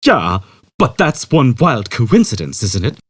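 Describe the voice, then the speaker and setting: A brash, impatient space rogue played by a man for a sci-fi audiobook. He talks in slangy exclamations, drawling out words, raised in pitch and swooping, with a slightly rough edge. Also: huge pitch swings, unhurried, dry recording, studio quality. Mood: amazed. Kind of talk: audiobook character voice.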